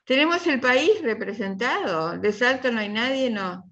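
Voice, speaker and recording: A woman's voice: lively intonation, normal speed, a bit bright. A middle-aged woman with a Uruguayan accent, a university professor chatting informally with her class in a rambling way and repeating her phrases. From Uruguay, from Tacuarembó. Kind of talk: informal online class introduction. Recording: muffled low-fidelity class recording.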